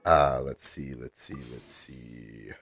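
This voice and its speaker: A man's voice: a bass voice, fairly even intonation, slow pace, soft background music. A man streaming a video game live, talking casually with a stuffed-up cold voice and lots of ums as he reads out the game's menus. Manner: soft-spoken, many ums, reads game text aloud.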